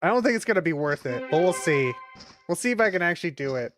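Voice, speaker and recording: A woman's voice: on the low side, animated, fast, faint music behind. A woman streaming a video game, commenting casually with a lot of exasperated swearing and exclaiming. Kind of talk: casual live gameplay commentary.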